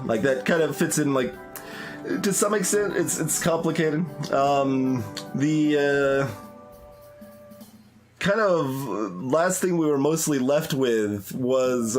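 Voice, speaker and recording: Slow, hesitant gamer man musing aloud. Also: mid-range voice. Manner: musing, hesitant, many fillers and restarts. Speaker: gaming YouTuber. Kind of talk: live commentary on a game. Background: soft game music.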